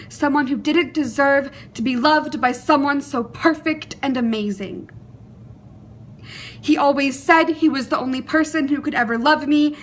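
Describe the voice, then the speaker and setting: Young female monologist, high-pitched and unhurried, emotive, deliberate phrasing with pauses. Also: a bit bright, slight background noise. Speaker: monologue performer. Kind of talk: dramatic spoken monologue.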